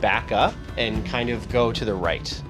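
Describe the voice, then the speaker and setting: A man's voice: lowish voice, measured pace. A man casually explaining his moves as a player in a tabletop game, with music under his voice. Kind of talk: casual tabletop play talk.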